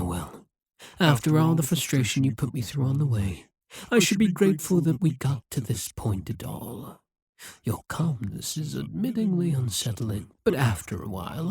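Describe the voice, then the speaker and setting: A performer voicing a haughty, wry demon ruler in an ASMR roleplay, speaking softly and huskily in a slow, condescending, possessive way. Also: medium pitch, no echo, clean studio sound, exaggerated voice. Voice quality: soft, breathy, husky.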